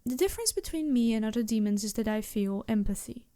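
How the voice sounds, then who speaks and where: A regal demon princess, acted out by a woman in an ASMR roleplay, with a highish voice. She addresses the listener in measured, slightly stilted formal phrases. Her temper is empathetic, with a teasing, flirtatious streak. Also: animated, dark tone, natural voice. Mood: solemn, reflective.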